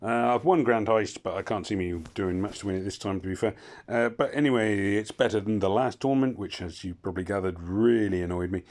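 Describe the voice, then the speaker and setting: A hoarse man casually commentating his mobile game, rambling and cutting himself off with wry asides. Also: on the low side, brisk, a little mellow. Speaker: gaming channel host.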